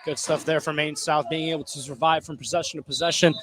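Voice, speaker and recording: A man's voice: a little high, fairly even intonation, quick pace. An American man giving informal, slangy, opinionated colour commentary on a live basketball broadcast. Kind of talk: casual live sports analysis. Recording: some gym noise behind live broadcast. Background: indoor basketball gym.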